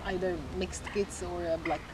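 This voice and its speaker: Czech woman in her 40s, lowish voice, thoughtful and halting, loud noise behind her. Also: flat delivery. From Czech Republic. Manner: reflective, with many pauses and restarts. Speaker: guest. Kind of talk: casual interview.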